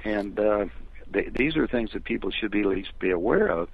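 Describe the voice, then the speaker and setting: An older American man giving his opinions as a phone interview guest, talking in a rambling, emphatic way with a gravelly, husky voice over a telephone line. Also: on the low side, lively intonation, moderate pace, dry recording. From United States. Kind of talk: conversational opinion talk.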